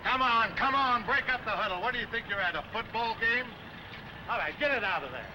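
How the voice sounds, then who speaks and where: High-pitched, barking street cop. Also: male voice, expressive, fairly quick, background noise. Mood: irritated, barking. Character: bossy, wisecracking, irritated male street cop. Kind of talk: 1940s film serial acting.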